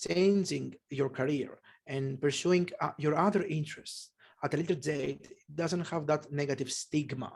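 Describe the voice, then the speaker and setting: A man's voice: a little high, normal speed, creaky. A man from Greece with a Greek accent, speaking English as a second language in an interview, thinking aloud with many fillers and restarts. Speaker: guest, polymathy researcher. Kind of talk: conversational interview.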